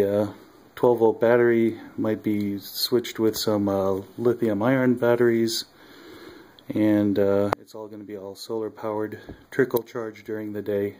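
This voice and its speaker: A man casually showing his electronics project at a home workbench, talking haltingly with many ums and uhs. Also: on the low side, mellow, slight background noise. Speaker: hobbyist maker.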